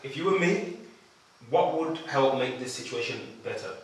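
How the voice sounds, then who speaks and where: Man as conciliatory male elephant executive, earnest, hesitant, medium pitch, distant echoey recording. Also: lively intonation, moderate pace, lightly acted. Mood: reasonable. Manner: hesitant, reasonable. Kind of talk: table read of a short film script. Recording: very echoey room, distant mic, some noise.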